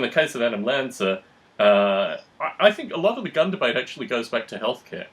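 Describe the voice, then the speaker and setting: A man giving opinionated political talk as a podcast host, in a deliberate, wordy manner with formal phrasing. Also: medium pitch, a bit bright, poor audio.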